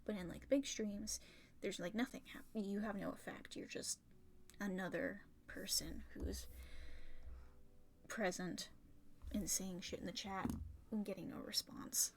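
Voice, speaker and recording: A young woman chatting casually and rambling on a livestream while drawing, swearing freely, her voice a little rough and raspy from talking for a long time. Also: mid-range voice, steady tone, a little slow, pauses often, a little hiss or hum. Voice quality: slightly raspy. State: tired, sore throat from long talking. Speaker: art streamer.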